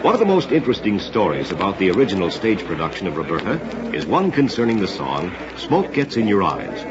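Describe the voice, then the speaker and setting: A man's voice: deep voice, wildly swooping pitch, fairly quick. An American man hosting a scripted old-time radio theater show with formal, theatrical introductions in the manner of an announcer. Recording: dull lo-fi vintage radio, crowd murmur.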